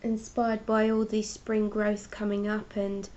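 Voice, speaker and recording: A congested woman with a mellow, flat-toned voice talks casually through her artwork on a live stream, explaining each piece in a chatty way. The sound is dull and lo-fi, with a faint rumble behind her. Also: a little slow. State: congested with a cold. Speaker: artist hosting her own live stream. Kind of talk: casual art chat, showing paintings.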